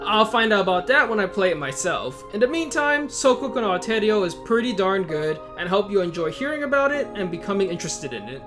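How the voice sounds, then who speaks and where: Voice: medium pitch, fairly bright tone. A video host narrating a scripted game guide in a casual, conversational way with informal asides.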